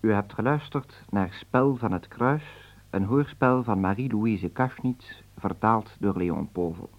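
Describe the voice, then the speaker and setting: Slow formal radio announcer man. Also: on the low side. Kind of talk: reading programme credits. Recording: dull old radio recording.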